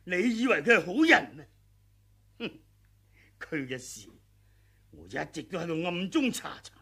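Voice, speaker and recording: A scheming old martial master in a classic radio play, speaking slowly with a husky, gravelly edge. his tone is bright and sharp, and his pitch leaps about as he turns from sly to savage. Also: sing-song, full character voice. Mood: defiant. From Hong Kong. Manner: sly, scheming, sneering laughs, sudden murderous yells. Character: treacherous aging male sword master, cunning and greedy. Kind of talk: 1980s radio drama acting.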